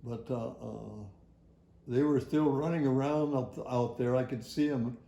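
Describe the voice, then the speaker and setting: An older man tells a personal story from his working life in a halting, rambling, folksy way. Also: mid-range voice, creaky, dark tone, reverberant. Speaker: retired air traffic facility worker. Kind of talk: personal oral history storytelling.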